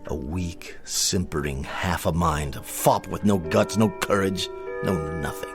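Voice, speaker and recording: A man playing a proud, aristocratic colonel in a radio drama, bitter and brought low, speaking in a formal, halting, pompous way. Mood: scornful, shaken. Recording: music playing under the voice.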